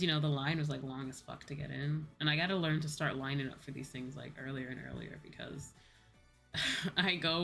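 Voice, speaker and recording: Low-pitched woman, chatting over music. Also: a bit bright. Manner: rambling, conversational, casual swearing. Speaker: VTuber livestreamer. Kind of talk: casual chat with livestream viewers. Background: background music.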